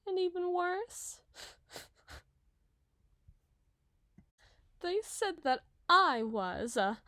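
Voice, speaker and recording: A sweet, dramatic young giantess , played by a woman, speaks in a high, girlish voice. Her delivery is chatty and openly emotive, in the manner of an audio roleplay. The sound is very dry, with no room around it. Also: bright, forceful tone, dry recording, heavily acted. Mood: outraged. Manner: emotive, chatty, halting when flustered.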